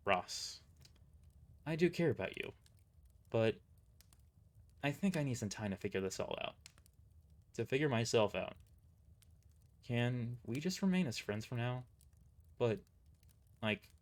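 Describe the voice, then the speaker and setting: A man voicing a guarded, blunt but caring young agent in an audio drama, halting and conflicted. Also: medium pitch, lively intonation. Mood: hesitant. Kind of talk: amateur audio drama dialogue.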